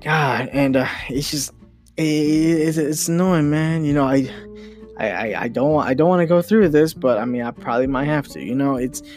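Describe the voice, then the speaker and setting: A man's voice: on the high side, moderate pace, a little mellow, soft background music. A young man hosting a solo podcast, ranting casually with many restarts and mild swearing. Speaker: podcast host.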